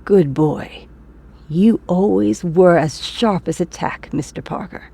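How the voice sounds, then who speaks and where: A woman acting as an eccentric older teacher in a comic dub, doting on a former pupil. The voice is husky and dark, with a slow, teasing delivery. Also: fairly low pitch, heavily acted. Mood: knowing.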